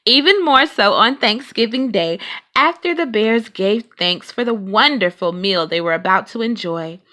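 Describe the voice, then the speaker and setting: A woman reading a children's storybook aloud in an expressive, deliberate way with dramatic emphasis. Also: mid-range voice. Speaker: storybook reader.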